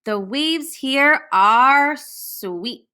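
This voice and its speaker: A woman's voice: high voice, lively intonation, very slow, sharp tone, clean tone, exaggerated voice. A woman voicing a friendly male storybook character who is eager and pleading for a friend's help.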